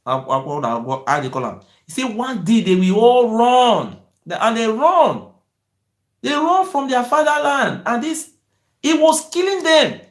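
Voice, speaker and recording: A man, hosting his own channel and speaking in an impassioned monologue that often rises toward shouting. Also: very high-pitched, unhurried, roomy sound. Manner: excited, rising to near-shouting, repetitive "you see". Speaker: channel host.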